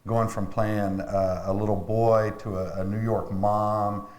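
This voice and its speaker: A man, an actor being interviewed, talking casually in a rambling, halting way that repeats phrases. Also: fairly low pitch, little pitch movement, darkish tone, roomy sound.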